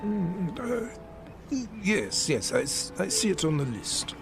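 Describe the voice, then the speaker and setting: An actor playing a polite, hesitant ship's steward, halting and formal in a radio drama. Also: male voice, high voice, very animated, gravelly, over music, heavily acted.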